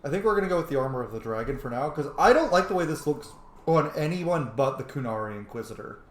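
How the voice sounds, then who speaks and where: A man's voice: fairly high pitch, animated, quick pace. A man giving casual let's-play commentary as a game streamer, muttering his choices to himself as he goes. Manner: thinks aloud, mutters choices to self. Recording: roomy echo, faint background noise.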